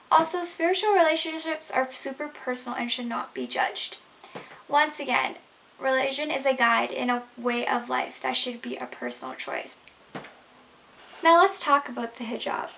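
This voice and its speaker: High-voiced young woman, earnest explainer. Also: vocal fry, fairly bright tone, poor audio. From Canada. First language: Urdu. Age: young adult. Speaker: speaker. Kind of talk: prepared talk to camera. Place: bedroom, indoors.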